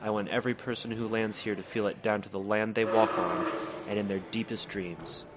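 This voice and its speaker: A man's voice: fairly low pitch, steady tone, brisk, lightly acted. A man playing an earnest, determined leader of an island community in an audio drama. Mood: earnest, resolute. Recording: noisy, dull lo-fi recording.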